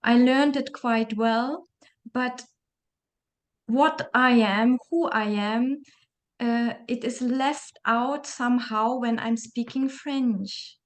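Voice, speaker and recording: A woman's voice: a little high, takes it slowly. A woman interviewing a guest, speaking thoughtfully and searching for her words. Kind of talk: reflective conversational interview.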